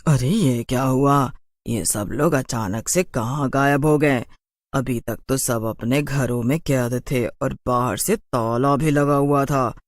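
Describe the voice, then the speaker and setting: A man voicing a sly, boastful city witch in a cartoon horror story, in a slow drawl. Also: mid-range voice, no room sound, clean studio sound, close to an everyday voice. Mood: bewildered.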